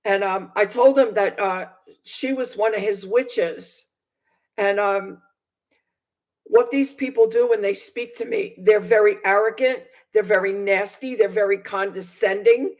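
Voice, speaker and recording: A woman's voice: mid-range voice, unhurried, a little mellow. A woman in her sixties giving personal testimony to viewers in an aggrieved, emphatic manner, repeating phrases for stress. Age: 60s. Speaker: vlogger recounting personal experience.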